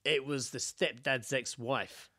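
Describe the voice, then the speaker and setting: A British man with a medium-pitched voice talks as a podcast co-host. He is casual and laddish, blunt and quick to swear, moving between banter and reading stories aloud. The sound is very dry. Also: fairly even intonation, pushed and bright, husky, dry recording.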